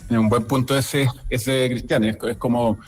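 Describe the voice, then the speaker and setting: Man, radio co-host, mid pitch, stumbling repeats, low music underneath. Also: fairly even intonation, darkish tone, breathy edge. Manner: halting, repeated words. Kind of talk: radio talk show conversation.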